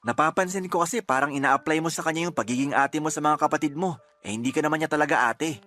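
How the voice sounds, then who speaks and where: A man's voice: fairly high pitch, fast talker, pushed and bright, faint music behind. A man playing a reproachful, protective boyfriend in a Filipino radio drama, earnest and reproving, with a Filipino accent. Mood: pleading, reproving. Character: reproachful boyfriend, protective of his younger sister.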